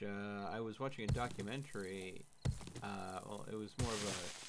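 A man in his thirties with a fairly low voice hosts a game stream from a room at home. He explains things casually in a measured, dry manner. Clear background noise sits behind his microphone. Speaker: livestream gamer and host. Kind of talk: casual gaming chat with explanations.

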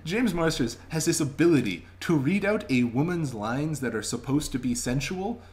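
A man's voice: a little high, expressive, moderate pace, a little mellow. Enthusiastic young man giving a casual review. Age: young adult. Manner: enthusiastic, chatty, emphatic. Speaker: vlogger reviewing audiobooks. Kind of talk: casual spoken review to camera. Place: small indoor room at home. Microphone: webcam microphone at arm's length.

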